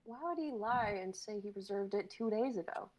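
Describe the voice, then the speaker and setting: A woman's voice: medium pitch, lively intonation, normal speed, darkish tone, natural voice. A woman playing a self-conscious young dater, explaining herself casually in a script reading on a noisy livestream. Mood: defensive. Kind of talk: dramatic reading of sketch script.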